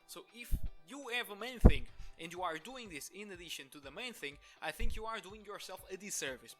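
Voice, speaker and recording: Young Portuguese-accented business owner, bright higher voice, opinionated monologue with music underneath. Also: male voice. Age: 20s. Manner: opinionated, many restarts and filler. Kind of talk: talking-head monologue to camera.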